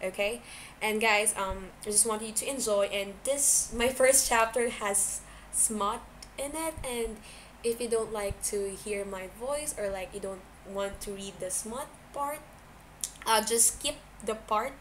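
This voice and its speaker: A woman's voice: medium pitch, unhurried. Informal amateur woman reader, slightly noisy recording. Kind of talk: casual introduction and fiction narration.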